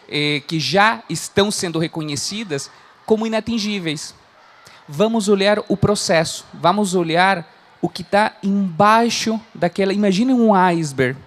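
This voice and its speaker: A man in his thirties from Chile, speaking Portuguese with a Chilean Spanish accent, giving an earnest motivational talk as a coach. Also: sits high. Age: 30s. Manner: earnest, frequent "né?" tags. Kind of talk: motivational talk to a live audience.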